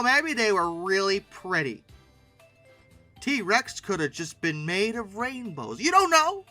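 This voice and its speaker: A man with a very bright, forceful voice and swooping, animated pitch delivers casual comic commentary as a host. He is theatrical, doubling back on his words and tossing in mock-exasperated asides. The sound is dry and close, with music underneath. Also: dry recording. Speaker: host reviewing old paleoart.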